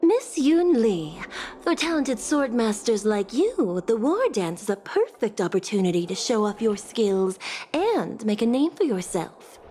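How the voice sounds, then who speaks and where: A woman's voice: fairly high pitch, very animated, a little slow, mellow, slight background noise. A woman voicing a polite, poised court secretary in a video game cutscene, speaking in a courteous and formal way. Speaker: palace secretary. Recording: game cutscene over music. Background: background music.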